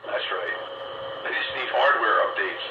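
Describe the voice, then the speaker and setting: A man's voice: on the low side, expressive, a little slow. A man in casual, folksy, joking conversation over a radio link. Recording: noisy lo-fi radio link, roomy.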